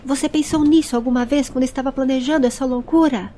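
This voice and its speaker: A hot-tempered male traveller in an audio story played by a woman. He speaks quickly and emphatically, scolding with angry exasperation yet protective underneath. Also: sits high, fairly even intonation, mellow, slight background noise, lightly acted.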